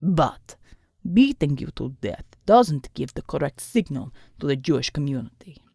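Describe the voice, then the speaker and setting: A woman voicing a cruel, sneering male thug leader who speaks slowly and menacingly in a much lower voice. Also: very animated, creaky, mellow, breathy edge, no room sound, clean studio sound, heavily acted. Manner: snarling, slow and menacing.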